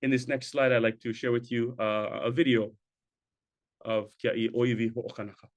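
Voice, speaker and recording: A man's voice: medium pitch, little pitch movement, normal speed. Man presenting over a video call. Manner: conversational, tag questions, sprinkles in Hawaiian words. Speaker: program presenter. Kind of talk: presenting a talk. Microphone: video call webcam.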